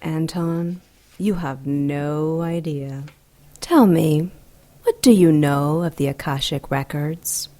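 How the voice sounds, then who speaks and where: A woman's voice: low-pitched, slow pace, mellow. An actress playing a seductive, commanding demon queen with a silky, teasing manner in an audio drama. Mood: assured, scheming. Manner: silky, teasing, self-assured.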